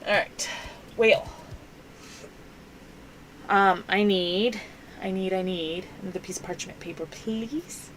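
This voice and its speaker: A woman's voice: mid-range voice, fairly even intonation, takes it slowly, long pauses, a bit bright, a little hiss or hum, poor audio. A young woman hosting a crafting stream, chatting casually and rambling with lots of fillers. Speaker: crafting channel host. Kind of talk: casual chat while crafting.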